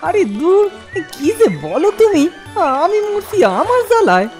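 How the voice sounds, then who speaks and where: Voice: very high-pitched, big pitch swings, normal speed, a little mellow, soft background music. An actor voicing a cheeky, simple-minded male thief in a comic cartoon, with a whining, folksy, mock-humble way of talking. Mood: exasperated.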